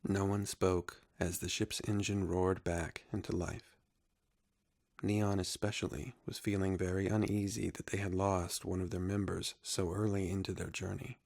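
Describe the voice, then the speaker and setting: Deep, creaky, even-toned male narrator. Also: moderate pace, no room sound, clean studio sound. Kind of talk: scripted sci-fi story narration.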